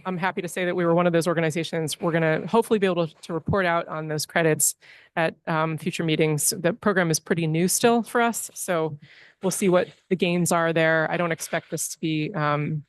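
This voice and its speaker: A woman's voice: fairly low pitch, steady tone, brisk, dry recording. A woman presenting a report as library director at a board meeting, earnest, with frequent ums and restarts. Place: public meeting room.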